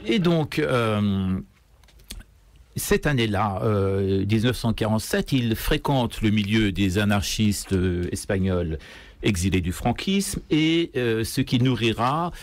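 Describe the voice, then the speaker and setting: A man from Iran speaking hesitantly, with many pauses, as an unscripted guest in a radio interview. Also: fairly low pitch, expressive, no echo. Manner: hesitant, halting delivery full of pauses. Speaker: guest singer. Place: radio studio. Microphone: close studio microphone.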